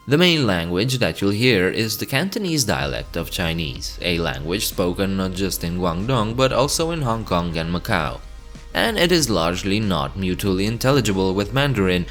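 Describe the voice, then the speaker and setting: A man's voice: low voice, a bit bright. A man narrating an educational video from a script, breaking into chatty asides to the viewer between facts. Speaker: channel host.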